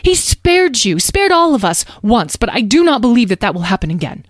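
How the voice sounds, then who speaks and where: A woman's voice: medium pitch, normal speed, mellow, no room sound, studio quality, pitched above the performer's own voice, natural voice. A woman voicing an anxious, protective mother dragon in a storybook, stern and pleading, with urgent, emphatic delivery. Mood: panicky, pleading.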